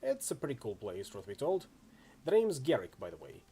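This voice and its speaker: A man's voice: mid-range voice, huge pitch swings, fairly quick, dark tone, breathy edge, full character voice. A man voicing a friendly, easygoing orc swordsman in a video game, chatty and full of laid-back street slang. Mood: friendly, breezy. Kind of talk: acted reading of role-playing game dialogue.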